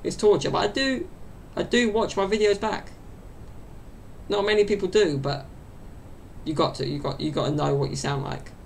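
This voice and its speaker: Young man, chatty rambling podcast host, gravelly fry, faint room rumble. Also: moderate pace. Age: 20s. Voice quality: high-pitched, gravelly. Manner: chatty and meandering, full of fillers. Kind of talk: rambling solo podcast chat. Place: small bedroom at home. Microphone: desk mic set off to the side.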